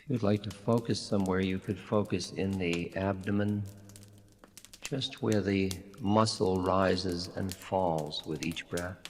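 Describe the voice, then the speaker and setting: A man leading a guided meditation in a gentle, unhurried, soothing manner with long pauses. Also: sits low, steady tone. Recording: long reverb, audible background noise and clicks.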